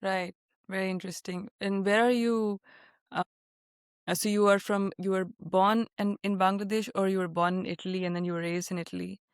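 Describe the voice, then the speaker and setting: Flat, smooth-voiced woman podcast host. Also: medium pitch, fairly quick, dry recording, clean studio sound. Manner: warm interviewer, many fillers, relates to the guest. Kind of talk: casual interview chat.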